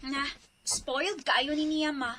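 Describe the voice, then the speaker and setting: A woman's voice: high-pitched, fairly even intonation, slow, sharp tone, exaggerated voice. A woman acting a cheerful young wife and mother in an audio drama, chatty and teasing.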